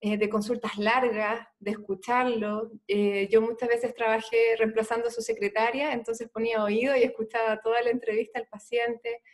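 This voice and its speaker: A woman's voice: fairly high pitch, little pitch movement, normal speed, hardly any pauses. A woman from Chile with a Chilean Spanish accent, a doctor guest telling her story in an earnest, conversational way with many "eh" fillers.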